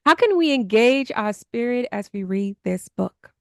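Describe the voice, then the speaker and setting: A woman's voice: a little high, expressive, a little slow, dry recording, clean studio sound. A woman hosting a podcast, speaking to listeners in an upbeat, enthusiastic way, partly scripted. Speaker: podcast host.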